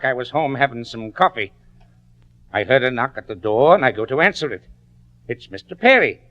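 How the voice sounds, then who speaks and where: A man's voice: mid-range voice, pushed and bright, highs cut off. An actor in an old-time radio drama playing a slangy, cagey male juror giving evidence with folksy evasiveness. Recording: vintage radio broadcast.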